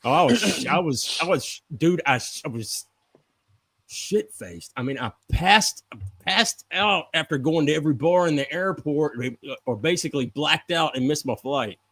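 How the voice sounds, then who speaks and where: A man's voice: a little high, lively intonation, normal speed, creaky, fairly bright tone, dry recording. A man chatting casually in a group, rambling and slangy, often repeating himself as he goes.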